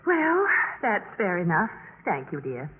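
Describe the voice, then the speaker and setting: An actress playing a fussy, gently meddling older sorority house mother in 1950s radio drama, genteel, hesitant and fluttery. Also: fairly low pitch, sing-song, a little slow, a little hiss or hum. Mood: genteel pleading. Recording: tinny old radio broadcast, hall echo.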